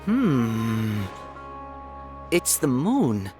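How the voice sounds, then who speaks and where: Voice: mid-range voice, big pitch swings, extremely slow. An actor playing a chatty, courteous, showy fortune-telling stall vendor in a video game. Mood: pleased, showy. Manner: salesy, theatrical, courteous. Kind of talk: English video game voice acting. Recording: music behind the voice. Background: game music.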